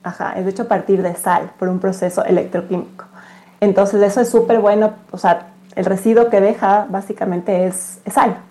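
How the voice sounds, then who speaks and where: Female guest, business representative, soft-edged dark timbre, mid pitch, casual explanatory delivery. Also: fairly even intonation, moderate pace. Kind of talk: casual explanatory interview talk.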